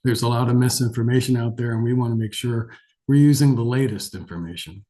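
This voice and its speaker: A man with a scratchy voice from a cold delivers a webinar lecture. His tone is mellow and soft-edged, at a middling pitch. He talks conversationally and somewhat hesitantly, in a self-deprecating way, as a doctor addressing learners. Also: quick pace. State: has a cold. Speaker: geriatric physician giving a lecture.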